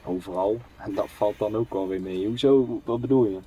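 A man acting an easygoing young brother in a radio play, a little puzzled and casually questioning. His voice sits fairly low, over faint ambient noise. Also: normal speed, dark tone, lightly acted, poor audio. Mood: puzzled, amused. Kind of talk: naturalistic radio play dialogue.

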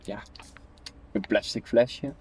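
A man's voice: on the low side, slow, husky. A young man playing an easygoing, slightly puzzled brother in naturalistic radio play dialogue, casual and questioning. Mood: puzzled, amused. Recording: faint station ambience noise.

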